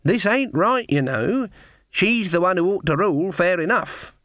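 A man voicing a sharp, stubborn old female witch with rustic, plain-spoken speech in a storybook reading. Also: high-pitched, animated, a little slow, dry recording, studio quality, pitched above the performer's own voice. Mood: stern, firm.

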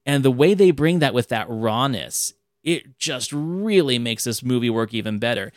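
A man's voice: mid-range voice, normal speed, dry recording, studio quality. A man hosting a podcast, talking casually about an old film and sometimes acting out lines in a raised voice. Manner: animated, acts out quotes in a raised voice. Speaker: podcast host.

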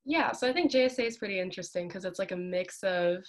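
A young woman from Hong Kong and Japan talks as a podcast guest at a medium pitch. She is informal and giggly, filling her casual answers with "like". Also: fast. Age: young adult. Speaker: podcast guest, student club officer. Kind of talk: casual interview chat.

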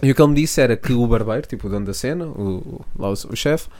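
A man's voice: medium pitch, lively intonation, rapid-fire, a little mellow. A young man, co-hosting a podcast and telling a rambling, slangy story with frequent restarts. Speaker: podcast co-host. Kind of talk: casual storytelling chat.

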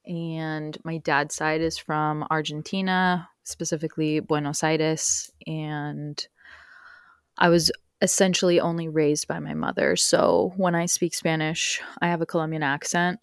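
Young woman guest on a podcast, low voice, dry close sound, keeps saying like. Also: clear, resonant tone, dry recording. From United States. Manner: rambling, uses many fillers and "like". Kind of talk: casual conversational interview.

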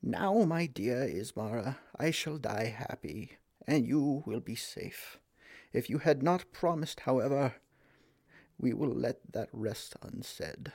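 A man voicing a dying old king and magician, speaking slowly and tenderly in a solemn storybook tone. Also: medium pitch, animated, gravelly, darkish tone, no echo, full character voice.

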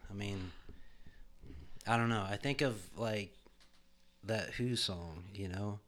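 A man's voice: fairly low pitch, takes it slowly, a bit bright. A middle-aged man co-hosting a podcast in casual chat, joking and rambling with fillers, recorded in a home studio. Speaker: podcast co-host.

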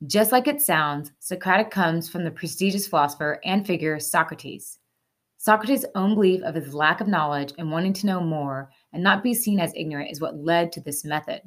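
A woman's voice: on the low side, moderate pace. A woman hosting a segment, reading scripted explainer narration over music. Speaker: host.

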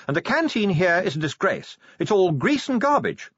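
A brisk, bossy noblewoman fusses and declares her verdicts, as a male narrator raises his husky voice for her. Her lines bounce with lively pitch. The recording is dry and slightly muffled. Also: no echo, full character voice. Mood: fussing. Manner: brisk, fussing, matter-of-fact. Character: bossy caring noblewoman, wife of the commander. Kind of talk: audiobook character voice.

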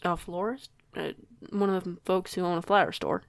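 A woman's voice: lowish voice, fairly even intonation, moderate pace, creaky, no echo, studio quality. A woman playing a flustered, curious young newcomer in an audio roleplay, with a hesitant, rambling way of talking. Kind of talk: audio roleplay acting, casual dialogue.

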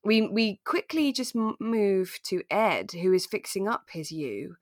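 A woman co-hosting a podcast in relaxed, conversational chat, retelling a drama and acting out bits of it. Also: mid-range voice, a little slow, dry recording, clean studio sound. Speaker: podcast co-host.

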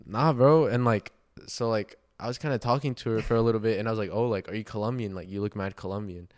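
Young man, low voice, casual podcast chat, slangy bro-talk, loose storytelling. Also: fast. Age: young adult. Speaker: podcast co-host.

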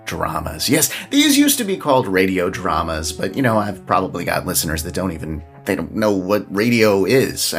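A male podcast host with a very low voice that moves around a lot in pitch. He talks casually and chattily, as if to regular listeners, with music behind him. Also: big pitch swings, moderate pace, vocal fry, a bit bright. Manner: chatty, informal. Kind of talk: casual podcast talk with sponsor read. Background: background music.

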